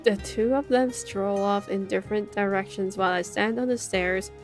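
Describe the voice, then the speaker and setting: Woman streamer reading with soft music behind. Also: medium pitch, fairly even intonation, moderate pace. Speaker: streamer reading a visual novel aloud. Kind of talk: narration with casual reactions. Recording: game music faintly behind the voice.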